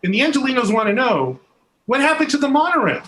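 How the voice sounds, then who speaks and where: A man's voice: very high voice, sing-song, quick pace, a bit bright. A middle-aged man from Southern California with a Californian American accent, casually moderating a panel on a video call. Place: home room, video call. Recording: noisy video call room sound.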